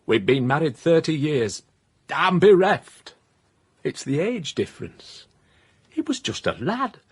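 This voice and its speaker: An actor playing a flustered, bereft middle-aged husband with a South Yorkshire accent, hesitant and awkward, in radio comedy drama. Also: male voice, a little high, sing-song, slow, breathy edge, full character voice. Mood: upset, bereft.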